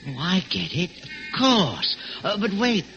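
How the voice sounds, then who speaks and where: An actor playing a loyal, puzzled Cockney sidekick in an old-time radio thriller, colloquial and wondering. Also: male voice, high voice, wildly swooping pitch, a little slow, full character voice. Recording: muffled old radio recording with music.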